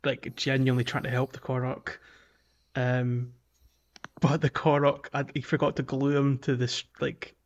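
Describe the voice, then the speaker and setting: A man's voice: mid-range voice, little pitch movement, moderate pace. A man co-hosting a podcast in casual game chat, recorded with slightly dull, lo-fi sound. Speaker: podcast co-host.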